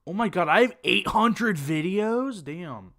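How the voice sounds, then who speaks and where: Late-teen male streamer, slightly high voice, casual and rambling with plenty of fillers, home desk-mic sound. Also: animated, unhurried, bright, forceful tone. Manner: rambling, with many fillers and self-corrections. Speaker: streamer reacting to videos. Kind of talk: casual live-stream chat.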